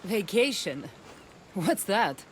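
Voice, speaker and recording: A woman's voice: mid-range voice, big pitch swings, slow pace, a little hiss or hum. Seasoned female mercenary, sardonic, casual, mid-range voice, video game drama. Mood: weary, curious. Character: wry, weary Greek mercenary woman in her prime. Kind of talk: video game cutscene acting. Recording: music behind the voice. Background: music.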